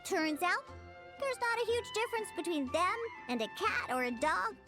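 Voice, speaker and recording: A young female bartender from a video game, with a strikingly high voice. Her delivery is casual and wry with a mischievous streak, moving animatedly up and down over faint music. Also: big pitch swings, moderate pace. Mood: casual explaining.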